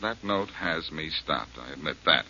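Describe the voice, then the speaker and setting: An actor playing a breezy, wisecracking detective in a 1940s radio drama. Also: male voice, extremely low pitch, lively intonation, measured pace, gravelly, sharp tone, husky, heavily acted. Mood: wry. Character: wisecracking adult male detective, cool and confident. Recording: dull old radio recording with faint hiss.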